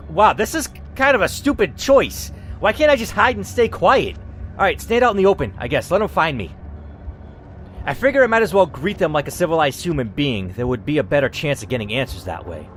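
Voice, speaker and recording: A man hosting a dramatic story reading, who reacts out loud and swears as he weighs each choice. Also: fairly high pitch, sing-song, a little fast, slight background noise. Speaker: host reading an interactive story.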